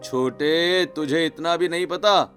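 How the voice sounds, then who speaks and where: A man's voice: a little high, slow pace, fairly bright tone, faint music behind. A man voicing a wry, teasing young bridegroom and older brother in a cartoon story. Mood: scolding. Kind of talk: Hindi cartoon story acting.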